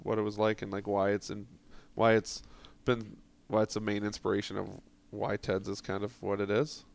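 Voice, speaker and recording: A male podcast host with a lowish voice and a gravelly, fry-laden edge. He asks rambling, half-finished questions and keeps the tone joking and loose. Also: monotone, normal speed. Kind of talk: casual interview chat.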